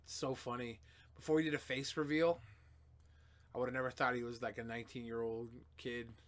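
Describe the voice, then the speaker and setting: A young man hosting a casual livestream, answering chat questions in a loose, profane way that swings between mumbling and loud outbursts. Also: mid-range voice, little pitch movement, a little fast.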